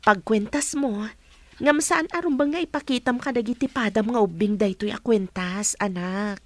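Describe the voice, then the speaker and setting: A woman playing a tender, protective mother in a radio drama, speaking gently with a Filipino Ilocano accent. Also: fairly high pitch, moderate pace, no echo, natural voice.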